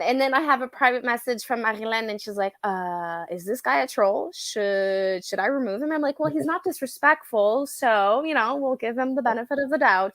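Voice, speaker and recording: A woman's voice: fairly high pitch, a bit bright, no room sound. French Canadian woman, native French speaker, chatty live-stream co-host, dry close sound. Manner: chatty, laughs easily, searches for words. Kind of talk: casual live-stream chat.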